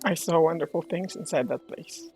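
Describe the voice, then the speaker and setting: A man with a gravelly, husky voice giving casual reaction commentary as he plays a video game. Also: a little high, moderate pace, a little mellow. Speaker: gaming streamer. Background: game music.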